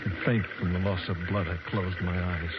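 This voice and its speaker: A man's voice: low-pitched, flat delivery, normal speed, heavy background noise, lightly acted. An actor playing a shaken, wounded man who narrates his ordeal in urgent, dramatic 1940s radio style. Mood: tense. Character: shaken, wounded grown man, intense storyteller. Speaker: first-person narrator. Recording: dull old radio recording with loud music.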